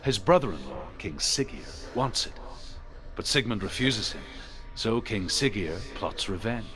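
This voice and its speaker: A man playing a grave storyteller who narrates old legends in a video game with measured, dramatic delivery. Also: fairly low pitch, expressive, a bit bright. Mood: solemn, grave. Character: solemn male storyteller of old Norse legends. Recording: game audio with clear background noise.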